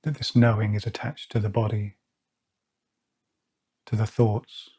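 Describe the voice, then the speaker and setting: A man leading a guided meditation, speaking slowly and softly with long pauses. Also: on the low side, level tone, breathy edge. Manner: slow, soft-spoken, with long pauses. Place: outdoors or by an open window with birds nearby.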